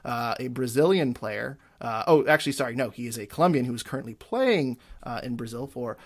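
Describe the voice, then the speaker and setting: A man's voice: medium pitch, expressive, a little fast. A man hosting a casual soccer podcast, chatting loosely with many filler words and self-corrections. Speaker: podcast host. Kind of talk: casual sports podcast chat.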